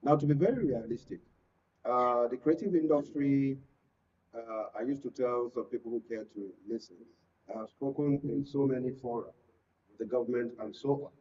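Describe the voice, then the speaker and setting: A man with a Nigerian accent speaking as a guest from the creative industry in a political panel discussion, deliberate and emphatic, often repeating phrases. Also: medium pitch, slight background noise. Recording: muffled, distant-mic, lo-fi studio pickup.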